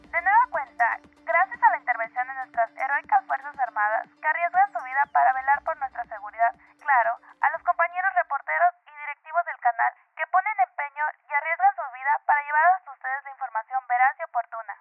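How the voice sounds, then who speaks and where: Woman playing earnest news anchor, high voice, formal official delivery, radio drama, music behind. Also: lively intonation, fast talker, hardly any pauses, dry recording, narrow, tinny audio. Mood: solemn praise. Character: earnest pro-government female TV news anchor praising the army.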